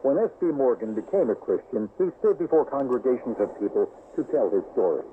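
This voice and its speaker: A man narrates a scripted devotional with a lively, moving pitch. His voice comes through a narrow shortwave radio band with clear static around it. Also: a little fast. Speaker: religious radio devotional reader. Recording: noisy shortwave radio broadcast, phone-like band.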